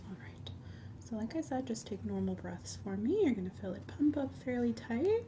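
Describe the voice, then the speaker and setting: A soft-spoken woman who often drops into a breathy whisper, gently explaining each step as a medical examiner in an ASMR roleplay. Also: on the high side, sing-song, moderate pace, background noise.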